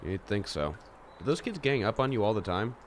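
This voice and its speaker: Low-voiced, lively, earnest high school boy played by a man. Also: a little fast, a little hiss or hum. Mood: gentle, concerned. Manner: earnest, questioning. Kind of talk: amateur audio drama acting.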